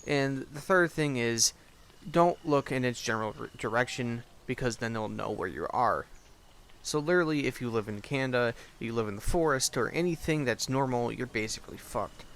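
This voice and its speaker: A man's voice: mid-range voice, lively intonation, normal speed, fairly bright tone. A young man giving a casual, sarcastic recap commentary in an offhand, sweary way. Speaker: host, video reviewer. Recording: clearly noisy home recording.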